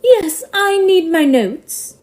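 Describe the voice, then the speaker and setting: A woman playing an excitable, genteel opera singer in a period audio farce. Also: extremely high pitch, slow pace, a little hiss or hum, full character voice. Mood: excited. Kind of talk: farcical period audio drama acting.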